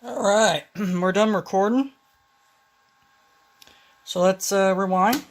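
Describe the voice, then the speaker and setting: A woman talking casually through a hands-on repair demonstration, halting and thinking aloud as she goes. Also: mid-range voice. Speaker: vintage electronics repair hobbyist. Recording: noisy home video, steady background noise. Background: clear background noise.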